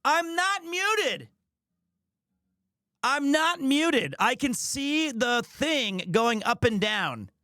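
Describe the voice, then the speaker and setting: A man hosting a livestream, talking casually to his audience in a rambling, jokey, expressive way. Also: very high voice, drawn-out words, dry recording, clean studio sound. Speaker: livestreamer and host. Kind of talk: casual chat to a stream audience.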